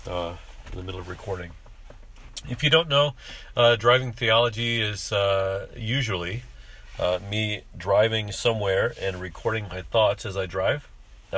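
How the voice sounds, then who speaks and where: Male podcast host, very low voice, stuffy-nosed, rambling aloud from behind the wheel. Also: a bit bright. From living in Japan. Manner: halting, many "uh" fillers and restarts. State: congested from allergies. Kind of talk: off-the-cuff monologue while driving. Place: in a car.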